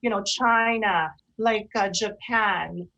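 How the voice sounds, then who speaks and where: A woman hosting an online radio interview in a chatty, halting way, often rephrasing herself. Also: mid-range voice, sharp tone. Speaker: host of an online radio show.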